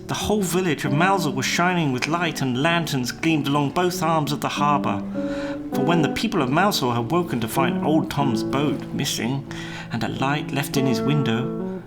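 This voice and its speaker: Male storyteller over plucked guitar music. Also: mid-range voice. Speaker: storyteller, narrator. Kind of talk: scripted storybook narration for a live puppet show.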